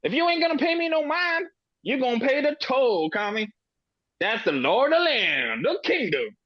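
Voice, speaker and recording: A man's voice: extremely high pitch, very animated, normal speed, no echo, dull audio, full character voice. A man playing a brash, foul-mouthed, taunting street tough at a script table read.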